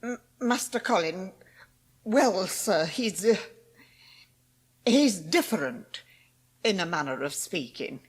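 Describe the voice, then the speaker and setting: A woman's voice: medium pitch, animated, takes it slowly, pauses often, slightly rough. An actress playing a flustered, deferential English housekeeper in a dramatised audiobook, hesitant and anxious as she explains herself. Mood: nervous, evasive.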